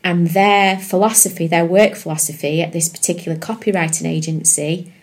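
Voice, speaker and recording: A woman's voice: lowish voice, steady tone, a little fast. A woman, chatting as a podcast guest, rambling with many fillers and restarts. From England. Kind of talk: casual interview chat.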